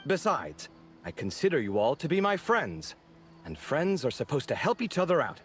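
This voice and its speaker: A man's voice: a little high, very animated, normal speed, soft background music. A man voicing a dramatic, sociable young male architect in a video game, theatrical, sarcastic and easily exasperated. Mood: warm, eager. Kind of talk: video game character acting.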